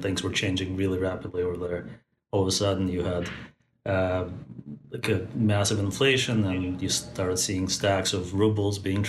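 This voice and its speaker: A man in his forties, born in Moscow and raised in Central Asia, speaks thoughtfully as a podcast guest, pausing often to find his words. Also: low voice, slight background noise. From born in Moscow, raised in Tajikistan. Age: 40s. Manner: thoughtful, many fillers and restarts. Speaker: guest, company founder. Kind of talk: podcast interview. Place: Bay Area.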